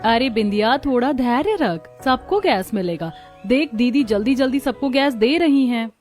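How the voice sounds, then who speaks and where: Lady playing a village family woman in a cartoon, highish voice, expressive, bit of music behind. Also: moderate pace, natural voice. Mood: urging. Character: village women of a family, daughters-in-law and mother-in-law. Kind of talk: Hindi cartoon story dialogue.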